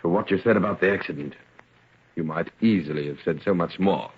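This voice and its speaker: A man playing a grave, troubled gentleman father in an old-time radio drama, solemn and melodramatic. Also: deep voice, brisk, slight background noise, close to an everyday voice. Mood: grave, haunted. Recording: dull, muffled old radio recording.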